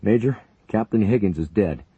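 A man voicing a shocked young male combat paramedic who yells frantic reports. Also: low-pitched, steady tone, fairly quick, breathy edge, dry recording, muffled recording, voice pushed up. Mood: frantic shouting. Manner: frantic yelling.

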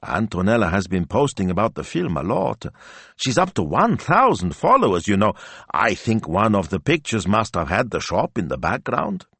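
A man's voice: fairly low pitch, sing-song, normal speed, a bit bright, husky, dry recording, heavily acted. A man voicing an eager, naive young male sidekick with an earnest, deferential manner in an audiobook.

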